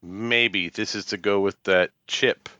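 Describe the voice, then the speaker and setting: A man's voice: lowish voice, steady tone, slow, sharp tone, no room sound, lo-fi sound. A man co-hosting a podcast in casual conversation, chipping in with short remarks. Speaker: podcast co-host. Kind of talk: casual chat.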